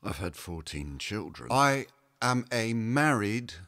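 A man's voice: on the low side, big pitch swings, slow pace, fairly bright tone, dry recording, clean studio sound, full character voice. Male reader as medieval holy woman, humble, steadfast, plain-spoken, subdued, dramatic reading. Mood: meek, frank.

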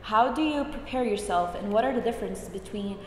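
A woman's voice: medium pitch, brisk. A young woman from Brazil presenting a guest and asking interview questions, recorded indoors. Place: room with high windows, indoors. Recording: echoey room, reverb, faint noise.

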